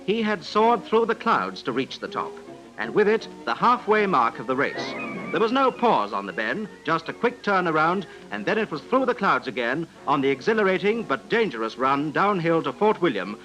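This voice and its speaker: A man's voice: a little high, very animated, normal speed, sharp tone, poor audio. A man narrates a scripted 1950s newsreel in a formal, dramatic manner. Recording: vintage 1950s newsreel soundtrack, music.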